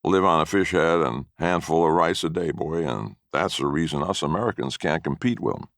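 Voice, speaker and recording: A man's voice: rumbling low, normal speed, a bit bright, no room sound, studio quality. A man voicing a mean, blustering old grandfather with folksy rural speech in a story reading. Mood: bullying, coaxing.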